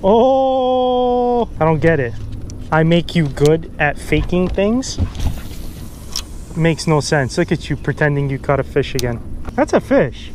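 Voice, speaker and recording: A man's voice: on the high side, sing-song, slow pace. Cocky guy joking around on a fishing boat, wind and water noise all over. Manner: cocky, joking, hypes catches loudly. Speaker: fishing vlogger. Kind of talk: casual teasing banter. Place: open boat on a big lake, outdoors. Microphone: action camera on the boat. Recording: noisy outdoor boat audio.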